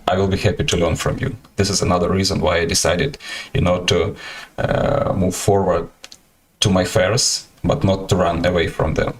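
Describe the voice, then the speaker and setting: Deep-voiced Ukrainian-accented man. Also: vocal fry, a little hiss or hum. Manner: halting, many fillers and restarts. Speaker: livestream host, 3D artist. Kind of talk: casual livestream talk.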